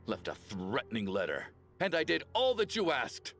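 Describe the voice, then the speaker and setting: A man's voice: mid-range voice, huge pitch swings, normal speed, fairly bright tone. Theatrical, swooping-voiced self-important man. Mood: frantic, pleading. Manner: theatrical, insistent, prodding with questions. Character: panicky, accusatory, self-important wealthy man under threat. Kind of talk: video game voice acting. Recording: music behind the voice. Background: music.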